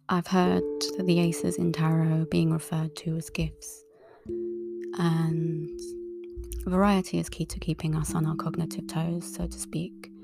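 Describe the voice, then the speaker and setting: A woman and tarot reader speaking a calm, soft-spoken monologue in a relaxing, breathy half-whisper. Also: deep voice. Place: outdoors in a wooded area. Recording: clear guitar music underneath. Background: guitar music.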